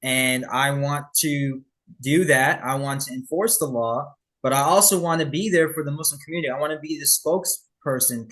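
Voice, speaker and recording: A man, a former police officer answering interview questions in a measured, reflective way. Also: fairly high pitch, a bit bright, low-quality recording. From Birmingham, Alabama.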